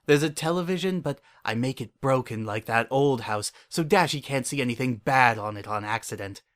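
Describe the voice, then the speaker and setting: A man's voice: medium pitch, normal speed, fairly bright tone, lightly acted. A man playing an unhinged, paranoid male narrator in a horror fan fiction, speaking earnestly in childlike phrases. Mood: hopeful, wistful. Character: unhinged, obsessive, paranoid male narrator clinging to his pet. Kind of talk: first-person dramatic horror fan fiction reading.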